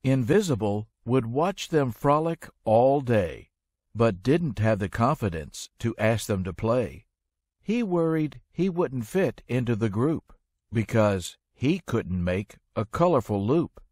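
A man narrating a rhyming children's story in an expressive, measured storytelling manner. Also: medium pitch, dry recording, clean studio sound.

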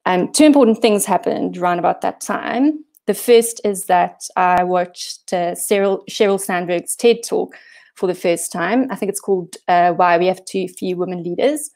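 South african woman, bit low voice, chatty talk with lots of ums over a video call. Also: normal speed, a little mellow. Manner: self-deprecating, chatty, many fillers. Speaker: guest speaker. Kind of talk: casual presentation talk. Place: home room on holiday.

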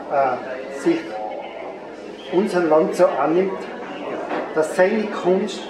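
An older man interviewing artists at an art fair, effusive and full of thanks. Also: on the high side. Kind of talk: casual interview. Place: large art fair hall, indoors. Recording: echoey fair hall, camera far off, crowd and noise behind.

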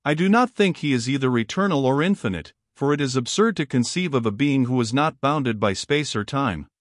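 A man reading the part of a haughty, learned male fallen angel in an audiobook, calm and impassive, in a dry, close sound. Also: mid-range voice, fairly quick, dry recording, close to an everyday voice.

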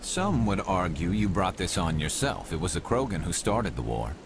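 A man's voice: sits low, very animated, normal speed. A man playing a defiant, accusing character in a video game. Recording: clear ambient noise behind.

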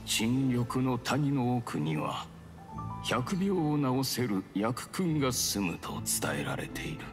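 An actor playing a solemn, formal storyteller narrating an old legend in archaic style for a game. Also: male voice, mid-range voice, sing-song, takes it slowly, over music.

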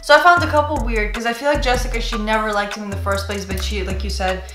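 A woman's voice: medium pitch, steady tone, fast, reverberant. A young adult woman co-hosting a YouTube video, casually chatting through a review with a friend in a small indoor room. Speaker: YouTube co-host. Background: music.

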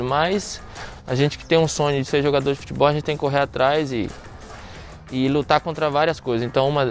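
A man in his thirties from Venezuela, a former footballer being interviewed outdoors on a sand court, talking in a relaxed, chatty way with frequent restarts. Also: medium pitch. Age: 30s. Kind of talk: casual interview.

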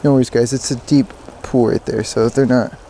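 A man giving excited, casual fishing commentary, repeating words in bursts, speaking outdoors into a body-worn action camera. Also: mid-range voice, normal speed. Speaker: fishing vlogger. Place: outdoors beside a stream. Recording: outdoor water noise behind voice.

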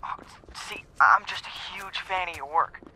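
A man's voice: sits high, measured pace, bright, forceful tone, slight background noise, full character voice. A man playing a nervous, nerdy young fan and tech tinkerer in a video game, hesitant and stammering, with an American accent. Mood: eager, nervous. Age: young adult. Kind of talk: video game voice acting, phone conversation.

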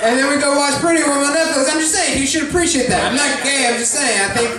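A very high-voiced man rants through a profane stand-up set, laughing at his own jokes. His voice rings through a hugely echoey pub and comes from well off the mic. A lively crowd is heard behind him. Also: quick pace, distant mic. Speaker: stand-up comedian and guest host. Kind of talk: live stand-up comedy.

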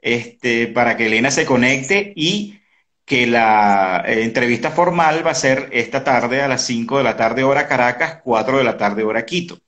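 A man in his early fifties hosting a casual interview on a video call, speaking haltingly and often repeating words. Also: medium pitch, animated, fairly bright tone. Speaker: interview host. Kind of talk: casual conversational online interview. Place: indoor room at home. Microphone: video call, device close in front.

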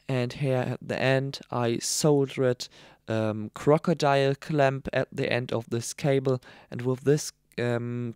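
A man with German as his first language explaining a hands-on build in a tutorial voice-over, halting with many ums and slightly non-native phrasing. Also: medium pitch, darkish tone, clear, resonant tone, no room sound, studio quality. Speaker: radio hobbyist demonstrating a build.

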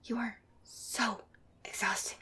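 A woman's voice: on the high side, flat delivery, slow pace. A woman playing a sweet, teasing, clingy demon girlfriend in a casual ASMR roleplay. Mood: exasperated, bickering. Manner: casual, teasing, playfully exasperated. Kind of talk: ASMR roleplay audio drama.

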